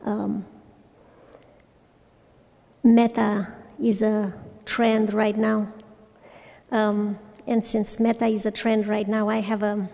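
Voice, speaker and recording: A woman in her forties from Romania, sharing her personal story at a recovery fellowship meeting in a hesitant way, with frequent pauses and restarts. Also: medium pitch, level tone, creaky, warm tone. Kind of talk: personal testimony talk. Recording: long reverb from a large hall.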